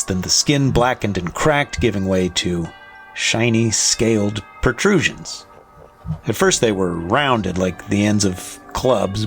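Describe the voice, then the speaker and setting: A man with a deep-leaning, fry-edged voice narrates scripted horror. He is deliberate and dramatic, with faint music behind him. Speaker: story narrator.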